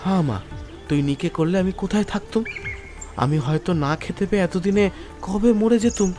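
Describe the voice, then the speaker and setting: A poor fifteen-year-old village boy acted by a man, pitched high, with plaintive and childlike rustic speech. He sounds devoted and full of naive questions. It is an audio drama with light music underneath. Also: moderate pace, dark tone, natural voice. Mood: pensive, affectionate. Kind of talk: audio drama acting of a Bengali story. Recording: music bed behind the voice.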